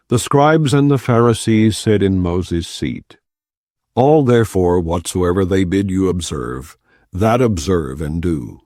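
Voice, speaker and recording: A man giving a solemn, measured, non-dramatized reading of scripture from the Bible. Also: low-pitched, mellow, no room sound, studio quality. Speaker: Bible reader.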